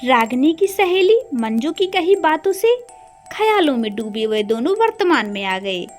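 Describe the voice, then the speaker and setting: A woman's voice: high-pitched, very animated, normal speed, soft background music. A woman narrating a scripted story in a dramatic style.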